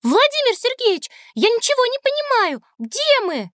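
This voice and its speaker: A woman's voice: extremely high pitch, huge pitch swings, normal speed, a bit bright, no room sound, studio quality, heavily acted. An actress playing a wide-eyed, innocent little girl in an educational audio drama, with a childlike, wondering manner.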